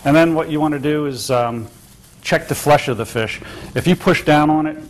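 A man's voice: on the high side, normal speed, low-quality recording. A man who runs a seafood shop, explaining how to pick and fillet fish in a hesitant, casual way. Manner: hesitant, many fillers and restarts. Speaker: seafood shop owner, cooking guest.

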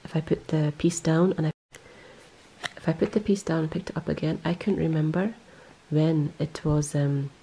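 Low gravelly woman, rambling knitting chat. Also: steady tone, normal speed, mellow, poor audio. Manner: rambling, self-correcting, lots of ums. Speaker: knitting vlogger. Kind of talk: casual show-and-tell. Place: indoors, close-up on hands and yarn.

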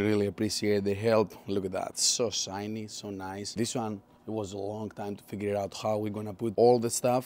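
A man's voice: lowish voice, a little mellow. A man in his thirties with a Greek accent, chatting upbeat about his car build from an outdoor driveway garage. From Greece. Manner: chatty, upbeat, non-native English. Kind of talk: casual workshop vlog.

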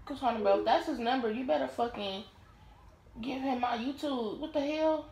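A young American woman chatting casually in an animated, brash way, swearing heavily as she banters with friends. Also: sits high, normal speed, reverberant, rough recording. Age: young adult. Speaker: vlogger. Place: small home room.

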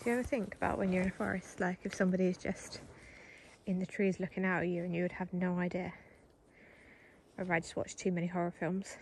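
A woman chats casually to the camera while riding an electric bike along a forest track. She breaks into a high, coaxing sing-song whenever she calls her dogs. Steady wind and road noise sit clearly under her voice in this outdoor recording. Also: fast talker, poor audio. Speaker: vlogger.

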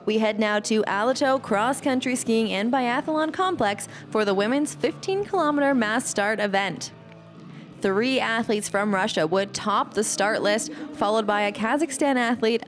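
A woman giving recap commentary as a voice-over on a cross-country ski race, in a brisk play-by-play style. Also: fairly high pitch, animated. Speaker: sports commentator.

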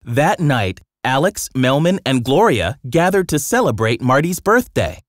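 A storybook narrator reading a scripted children's tale in an expressive, dramatic way. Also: medium pitch, a little slow, no room sound, clean studio sound.

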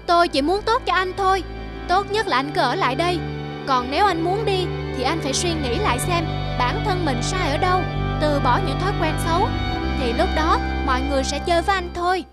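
A woman voicing a small, wise forest bird in a cartoon fable, measured and admonishing. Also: extremely high pitch, lively intonation, over loud music. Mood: earnest advice.

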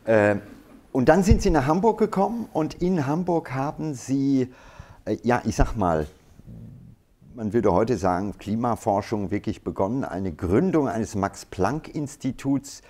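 Lively middle-aged male moderator. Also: mid-range voice. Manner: lively, animated questioning, quick asides. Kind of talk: hosted stage conversation. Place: stage of an indoor event hall.

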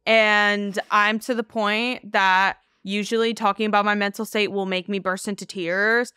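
A woman's voice: mid-range voice, flat delivery, measured pace, bright, forceful tone, clear, resonant tone, dry recording, clean studio sound. A woman in her twenties co-hosting a casual podcast, talking candidly with slang and swearing. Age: 20s. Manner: candid, slangy, heavy cursing. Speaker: podcast co-host.

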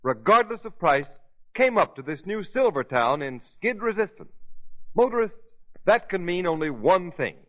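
A man's voice: sits high, very animated, moderate pace, fairly bright tone. A man announcing in 1930s radio style, emphatic and theatrical. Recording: muffled 1930s radio recording.

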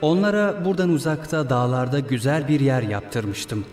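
A man's voice: mid-range voice, moderate pace, a little mellow, lightly acted. A man playing a falsely kind, scheming villain prince in a cartoon dub, composed and courtly. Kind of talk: dubbed cartoon acting. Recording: echoey room, music behind.

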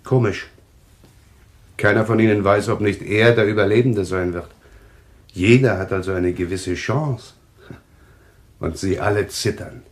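An actor playing a composed, cryptic man with eerie visions of death in a German radio thriller, speaking deliberately. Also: lowish voice, lightly acted. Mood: calm, taunting. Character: calm, eerily taunting man claiming visions of deaths.

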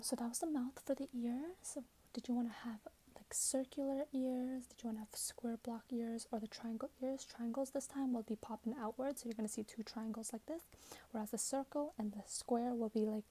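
A young woman speaks in a soft, breathy, often whispered voice that is fairly high yet mellow. She plays a shop assistant in an ASMR roleplay, talking casually and hesitantly, as if to a customer across the counter. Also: poor audio. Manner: casual, conversational, hesitant pacing as if chatting with a customer. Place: small plain room indoors.

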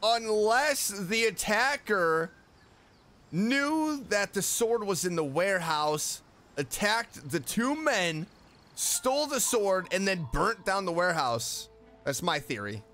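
A man hosting a game playthrough, reacting and thinking aloud in an excitable way. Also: sits high, slow. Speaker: gaming video host. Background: game background music.

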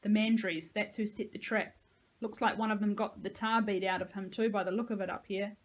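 A woman's voice: medium pitch, steady tone, fairly quick, natural voice. A woman voicing a small, irritable old man who scolds and grumbles in an audiobook. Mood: startled, cursing. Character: tiny, grumpy, cursing old man, gruff but helpful. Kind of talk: audiobook character dialogue.

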